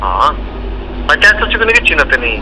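A man's voice: fairly high pitch, very animated, a little fast, sharp tone. A man with a Bihari accent on an informal official phone call as an office clerk, questioning insistently. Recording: noisy, humming recorded phone call.

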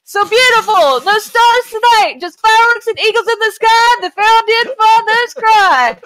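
A woman recites silly lyrics with theatrical commitment for a podcast. Her voice is extremely high and has a sharp, forceful brightness. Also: normal speed, rough recording. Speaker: podcast panelist reading lyrics. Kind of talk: comic dramatic recitation of song lyrics. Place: live group podcast stream.

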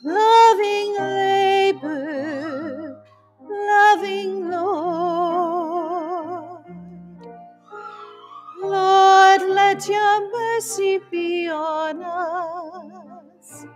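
A woman's voice: very high-pitched, drawn-out words, pauses often. A middle-aged woman, the music minister, singing a psalm in a large church while playing the piano. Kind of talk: sung liturgical psalm and hymn. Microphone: mic on the piano. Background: her own piano accompaniment.

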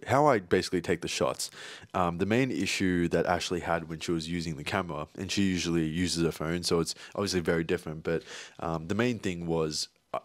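A young man talks casually to camera, rambling and restarting his sentences with plenty of ums and likes. His voice sits low, and the recording is dry and close. He has hay fever. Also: dry recording. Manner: rambling, many fillers and restarts. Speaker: vlogger and videographer. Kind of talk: casual vlog talk.

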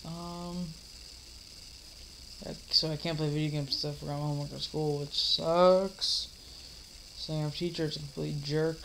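A man's voice: fairly high pitch, little pitch movement, moderate pace, gravelly, pauses often, a bit bright, slight background noise, low-quality recording. A teenage boy coming down with a cold, rambling casually through a home vlog with lots of fillers and restarts. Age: teens. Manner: mock-scolds an imaginary heckler. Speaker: vlogger. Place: dim living room, seated in a recliner.

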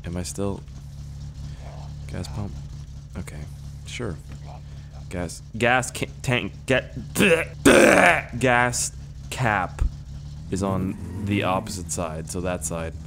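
A young man talks through his gameplay in a slightly low, hoarse voice that sounds worn out. He rambles loosely, swears freely and pokes fun at himself, as in a casual let's-play recorded on a desk mic. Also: lively intonation, very slow, pauses often, noisy background. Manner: rambling, profane, self-mocking. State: hoarse, strained voice. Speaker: gaming YouTuber.

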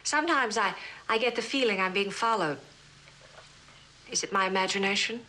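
An actress playing a scientist's poised but uneasy wife, politely probing, in an old suspense drama. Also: female voice, mid-range voice, normal speed, sharp tone, close to an everyday voice, low-quality recording. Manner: polite, pointed questioning. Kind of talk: mid-century American suspense drama acting. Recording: old broadcast with faint noise.

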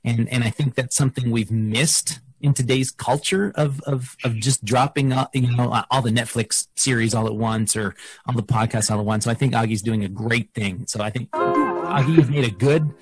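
A man's voice: medium pitch, moderate pace, husky, no echo, soft background music, poor audio. A man hosting a casual podcast interview, encouraging his guest in a rambling way.

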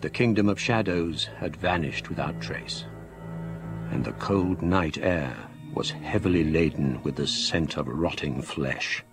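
Low male voice, scholarly middle-aged gentleman, measured, grave, radio ghost story, music behind. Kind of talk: radio drama narration.

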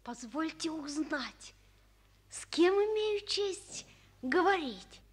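A woman's voice: very high voice, expressive, takes it slowly, exaggerated voice. A woman playing a curious, well-mannered little boy in an old radio play, earnest and polite. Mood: polite, wondering. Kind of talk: 1940s radio play acting.

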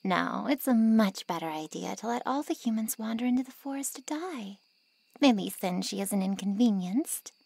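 A woman playing a haughty, callous ancient vampire in an ASMR roleplay drama, with mocking sarcasm and a breathy drawl. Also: fairly high pitch, animated, normal speed, a little mellow, no room sound. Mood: sarcastic.

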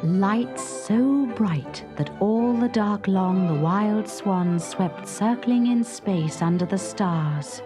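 A woman narrating a children's story in a dramatic, expressive, suspenseful storybook style. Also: medium pitch, slow, hardly any pauses, music in the background.

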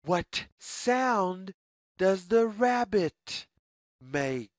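Read-aloud storyteller for young children: very slow, deliberate phrasing, lively sing-song intonation, mid-pitched voice. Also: no room sound, clean studio sound.

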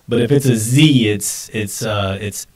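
A man's voice: fairly low pitch, unhurried, a little mellow, recorded from a distance. A man in his early forties chatting casually and off the cuff as co-host of a live stream at a desk microphone.

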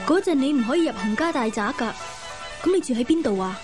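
Inquisitive woman, high voice, eager questions, radio play, light music. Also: animated, fast. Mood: curious. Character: curious female listener prompting a storyteller. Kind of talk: radio drama dialogue.

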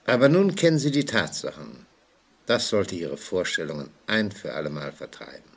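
An actor playing a composed, authoritative prison inspector in a vintage German radio play, formal, deliberate and patiently firm. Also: male voice, low-pitched, wildly swooping pitch, husky, heavily acted. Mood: firm, reassuring.

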